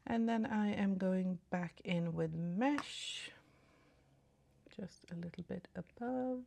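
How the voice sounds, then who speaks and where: A woman from Sweden with a slightly Swedish-tinged British English accent, chatting casually through a makeup tutorial just after waking up, dropping to soft murmurs as she applies makeup. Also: medium pitch, slow pace, gravelly. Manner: chatty, apologetic asides, soft murmurs while applying makeup. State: just woke up. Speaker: beauty vlogger.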